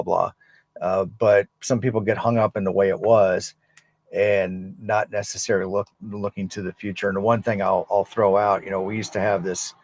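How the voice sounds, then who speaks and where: A man hosting a casual, unscripted livestream as an amateur radio operator, thinking aloud in a halting, rambling way with frequent pauses. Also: lowish voice, dry recording. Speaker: live stream host and amateur radio operator.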